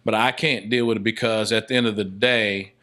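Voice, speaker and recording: A man's voice: on the low side, steady tone, a little fast, sharp tone. A man speaking as a filmmaker guest in a casual interview, often repeating words as he reasons things out. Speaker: podcast guest, filmmaker and stage play director.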